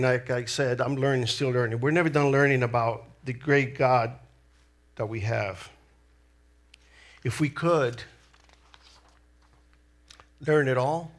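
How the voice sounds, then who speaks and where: A middle-aged man with a Salvadoran Spanish accent preaches in a slow, unhurried cadence, Spanish being his first language. His voice sits at an ordinary middle pitch, and he talks in an earnest, deliberate way with pauses between phrases. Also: fairly bright tone. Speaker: lay preacher. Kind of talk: sermon.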